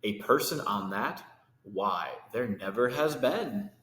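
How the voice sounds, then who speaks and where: A man's voice: on the low side, lively intonation, takes it slowly, a bit bright. A man voicing a sour, scornful, mocking kangaroo from a children's storybook. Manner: huffy, mocking. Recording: echoey hard room with hiss.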